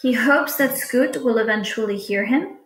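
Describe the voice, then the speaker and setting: A woman teacher reading test questions aloud to students in a deliberate, instructional way. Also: a little high, a bit bright. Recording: echoey room.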